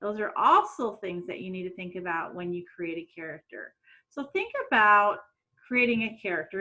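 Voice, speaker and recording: A middle-aged woman, a teacher doing an expressive storytime for children and putting questions to young listeners. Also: mid-range voice, poor audio. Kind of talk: read-aloud storytime for children. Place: small room at home.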